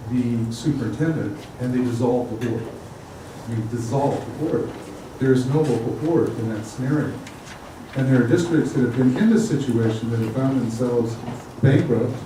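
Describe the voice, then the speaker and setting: A middle-aged man, a school board candidate, giving a halting forum statement with many fillers. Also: medium pitch. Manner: hesitant, many fillers and restarts. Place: outdoor park event. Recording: echoey, far from mic, noisy.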